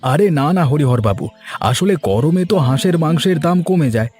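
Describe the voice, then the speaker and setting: A man plays a cheerful young village food seller in a cartoon tale. His voice sits a bit high and he is chatty and deferential. Soft music sits underneath. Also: expressive, moderate pace, slightly rough. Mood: eager, polite. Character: cheerful, eager young village biryani seller, polite to elders. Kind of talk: Bengali cartoon story voice acting.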